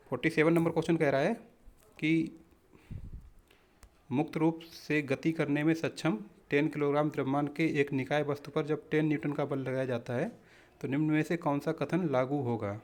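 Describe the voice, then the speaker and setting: A man teaching exam practice questions, explaining step by step in an instructional way and often addressing listeners as friends. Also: fairly high pitch. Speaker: exam-prep physics teacher.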